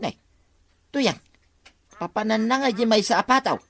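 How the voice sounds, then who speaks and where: A man's voice: high voice, expressive, a little slow, vocal fry, fairly bright tone, exaggerated voice. An actor playing a fussy, bantering village man in a Filipino radio comedy drama, with an Ilocano accent.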